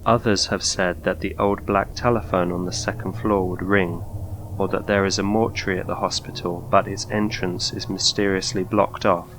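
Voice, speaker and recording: A man narrating a scripted ghost story in documentary style, with measured, formal delivery. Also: low-pitched, level tone, fairly bright tone, slight background noise, poor audio.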